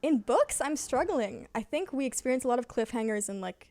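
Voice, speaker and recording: Woman, slightly high pitch, casual conversational talk, agreeable, very dry room sound. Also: animated, a little fast, a little mellow, no room sound. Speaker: host. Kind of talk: casual book chat.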